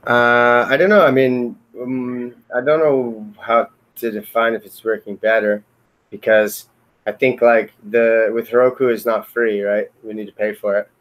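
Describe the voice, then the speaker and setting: A man's voice: mid-range voice, flat delivery, unhurried, a bit bright, low-quality recording. A man chatting casually in a remote meeting, talking in a hesitant, rambling, hedging way. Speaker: software team member in a retrospective meeting.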